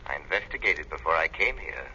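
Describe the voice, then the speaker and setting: An actor playing a menacing, taunting crime-fighting avenger in a 1930s radio drama. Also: male voice, rumbling low, expressive, sharp tone, breathy edge, full character voice. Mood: stern accusation. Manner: commanding, taunting, deliberate. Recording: muffled, hissy 1930s radio recording.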